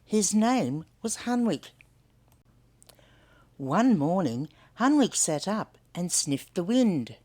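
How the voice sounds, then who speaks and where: A woman's voice: lowish voice, big pitch swings, slow pace, pauses often, darkish tone. An older woman with an Australian accent reading a storybook aloud to children, expressively and with questions for young listeners.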